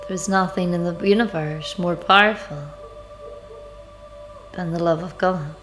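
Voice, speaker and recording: A woman leading a devotional guided meditation, speaking in measured, deliberate phrases with pauses. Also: lowish voice, steady tone, fairly bright tone. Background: background music.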